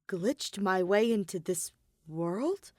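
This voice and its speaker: A woman's voice: medium pitch, expressive, takes it slowly, darkish tone, no echo, studio quality. A woman voicing a weary, withdrawn young man in denial, hesitant and subdued, in a fan fiction audio reading. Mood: startled confusion.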